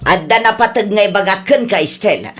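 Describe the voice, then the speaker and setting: A woman playing a nagging, sharp-tongued middle-aged aunt in a scolding, argumentative radio comedy drama. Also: mid-range voice, animated, fairly quick, loud music behind the voice, natural voice. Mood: indignant, complaining.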